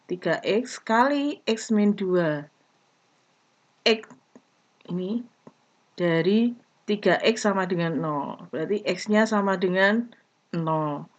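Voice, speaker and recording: A woman giving a math lesson, explaining step by step in short, deliberate phrases. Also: medium pitch. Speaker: math teacher. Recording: dull lo-fi recording.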